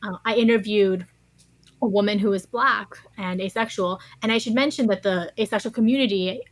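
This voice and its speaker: Woman, medium pitch, podcast guest, thoughtful conversational answers, dry room-free recording. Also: a little fast, no echo. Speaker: interview guest, science writer.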